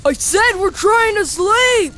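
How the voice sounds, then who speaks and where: Woman as high, grumpy cartoon monster. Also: very animated, measured pace, exaggerated voice. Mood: annoyed. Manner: snappish, complaining. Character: irritable monster kept awake, grumpy. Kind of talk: animated comic dub acting. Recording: music behind the voice.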